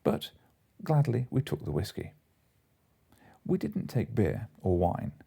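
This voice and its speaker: A man narrates classic fiction in the manner of an audiobook. His voice is low and very soft-edged and dark, with a husky grain. He reads a comic story from a script. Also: wildly swooping pitch, slow pace.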